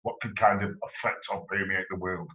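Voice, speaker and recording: Enthusiastic male host on a video call, bright tone, dull low-fi sound. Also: steady tone, normal speed. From England. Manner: enthusiastic, effusive, rambling questions. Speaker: interviewer, channel host. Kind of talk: online interview.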